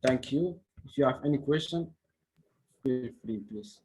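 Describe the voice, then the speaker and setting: A man from Somalia presenting research at an online conference, in halting nonnative English with frequent restarts. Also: mid-range voice, a little mellow, slightly rough, rough recording. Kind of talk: academic presentation.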